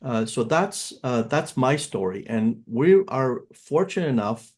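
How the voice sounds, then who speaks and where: A man in his fifties who grew up in Canada leads an online seminar with a mid-range voice. He speaks in a loose, conversational way and often pauses on filler sounds as he explains. He sounds like a financial advisor talking to an audience. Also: mid-range voice, animated, darkish tone. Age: 50s. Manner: casual, conversational, with frequent filler words.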